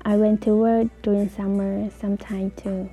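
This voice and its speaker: A young woman from Laos telling her own story as an interview guest, in halting, simple phrasing. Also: medium pitch, little pitch movement, warm tone, soft background music. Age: young adult.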